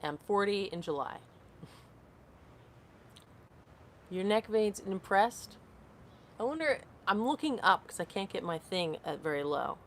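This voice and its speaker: A woman's voice: medium pitch, expressive, a little slow, pauses often, a bit bright, a little hiss or hum. A woman in her late thirties hosting a casual live stream, answering viewer comments aloud in a rambling way. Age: 30s. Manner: rambling, reads comments aloud and loses her train of thought.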